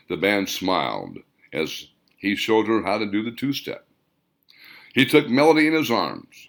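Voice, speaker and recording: A man's voice: fairly low pitch, fairly bright tone. A man reading a scripted story aloud as the author and narrator of an audiobook.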